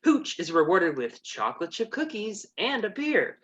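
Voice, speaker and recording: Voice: mid-range voice, big pitch swings, normal speed, fairly bright tone, muffled recording. A comedian narrating an abridged story with sassy, mock-serious commentary.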